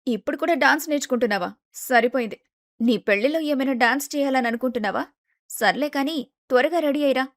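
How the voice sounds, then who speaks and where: A woman voicing an excitable, vain young bride-to-be in storybook dialogue, pushy, impatient and insistent. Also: high voice, fast, no echo, clean studio sound. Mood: eager, demanding.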